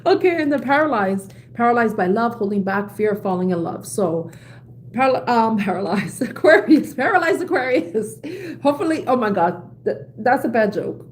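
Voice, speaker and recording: A woman giving a casual tarot reading, chatty and quick to laugh at herself, often pausing and correcting her words. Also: on the high side, a little hiss or hum. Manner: chatty, laughs at own jokes, self-correcting. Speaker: tarot reader.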